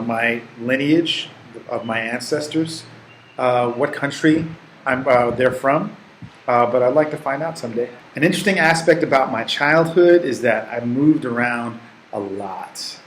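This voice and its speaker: Relaxed reflective man in his forties. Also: medium pitch, reverberant, slight background noise, poor audio. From United States. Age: 40s. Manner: relaxed, reflective, with fillers and restarts. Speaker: English teaching assistant. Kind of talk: answering interview questions to camera.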